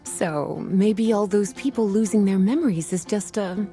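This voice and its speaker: A woman's voice: medium pitch, moderate pace, warm tone, faint music behind, lightly acted. A woman voicing a teasing, knowing female librarian in a game, sly and languid. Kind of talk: game voice acting.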